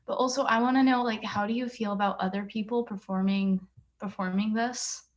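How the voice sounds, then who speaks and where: Mid-pitched woman asking a casual question. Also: fairly even intonation, brisk.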